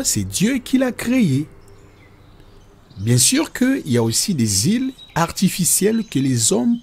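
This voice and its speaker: A man's voice: sits high, a little mellow, breathy edge, exaggerated voice. A man playing a patient cartoon father who explains God and nature to children in a reassuring, teacherly way. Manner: didactic, reassuring.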